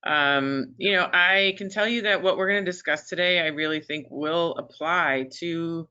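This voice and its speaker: A woman's voice: fairly low pitch, bright, forceful tone, clean tone, lo-fi sound. A woman in her fifties who runs a resume writing business, chatting as a panelist in a webinar with lots of fillers and restarts. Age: 50s. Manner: chatty, many fillers and restarts, quick to laugh. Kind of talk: conversational webinar discussion.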